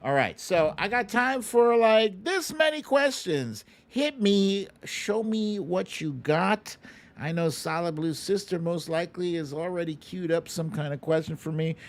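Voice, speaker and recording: A middle-aged American man hosting a political livestream, talking casually in an informal, slangy, plain-spoken way and chatting with viewers. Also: a little high. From United States. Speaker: host of a political commentary livestream. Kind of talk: casual livestream commentary, taking viewer questions.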